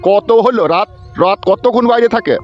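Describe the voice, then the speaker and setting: A man's voice: high-pitched, brisk, muffled recording, faint music behind, lightly acted. A man voicing an irate village father in a comedy cartoon, ranting and scolding with foul-mouthed indignation. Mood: furious scolding.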